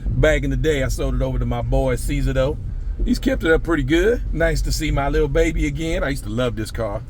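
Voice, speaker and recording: A man from the United States, a bit hoarse from losing his voice, chatting casually in a travel vlog as he drives and shops. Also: medium pitch, brisk, darkish tone. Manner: laid-back, slangy, chatty. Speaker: travel vlogger. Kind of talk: casual vlog talk while driving and shopping. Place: in a car and a mall outdoors, city traffic. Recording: street and traffic noise behind.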